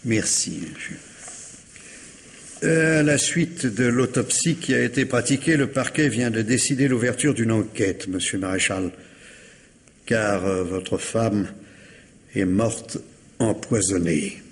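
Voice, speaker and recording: A man playing a courteous police superintendent, formal and deliberate with careful pauses, in a vintage French radio mystery. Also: on the low side, slight background noise. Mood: formal, measured.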